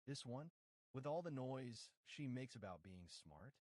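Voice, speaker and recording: A man's voice: medium pitch, lively intonation, measured pace, darkish tone, no room sound, clean studio sound, lightly acted. A man voicing a cruel stepmother in a folktale, mocking and contemptuous. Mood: scornful.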